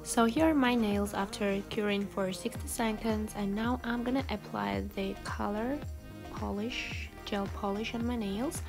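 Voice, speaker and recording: A woman's voice: mid-range voice, fairly even intonation, a little slow. A woman giving a casual, chatty tutorial voice-over in a self-deprecating way, like a beauty vlogger. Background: background music.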